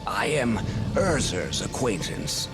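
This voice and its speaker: An actor playing a scheming sorcerer villain, haughty and taunting, in a dubbed game cutscene. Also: male voice, fairly low pitch, sing-song, slow, loud music behind the voice. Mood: smug. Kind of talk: English dub game cutscene acting.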